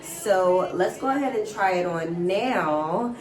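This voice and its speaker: A woman's voice: fairly low pitch, expressive, slow. Stuffy-nosed woman chatting through a tutorial. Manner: chatty, keeps addressing viewers as guys. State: stuffy nose from a cold. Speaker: hair vlogger. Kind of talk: casual wig install tutorial. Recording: roomy sound from a distant mic with music. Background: background music.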